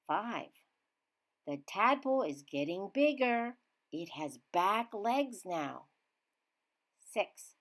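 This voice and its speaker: Slow, expressive woman reading to children. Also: medium pitch. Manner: teacherly, simple expressive phrasing with emphasized key words. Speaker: children's read-aloud reader. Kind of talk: scripted read-aloud of a children's science book.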